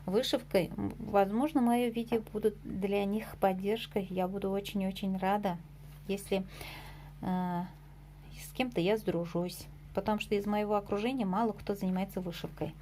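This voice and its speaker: A woman chats about her embroidery in a homemade vlog, talking at a mid pitch with plenty of fillers and small self-deprecating asides. A faint hiss of room noise sits under her voice. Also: steady tone, normal speed, warm tone. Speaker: embroidery vlogger. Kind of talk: casual show-and-tell vlog.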